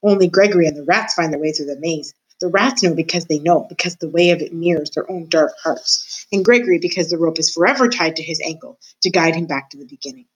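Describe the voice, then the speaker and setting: A woman's voice: deep voice, fast talker, few pauses, lightly acted, poor audio. A woman voicing an old male dungeon jailer who talks in a menacing, theatrical way and calls himself by name.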